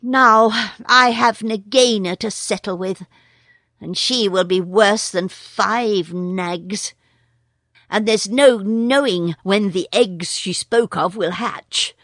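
A woman's voice: mid-range voice, dry recording, studio quality. A woman voicing a brave young male mongoose who speaks sharply and impatiently. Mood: determined. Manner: brisk, sharp and scolding.

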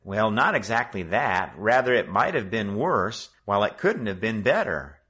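A man's voice: on the low side, big pitch swings, moderate pace, bright, forceful tone, voice pushed up. A man reading a story aloud, voicing an earnest, eager teenage boy talking to his father.

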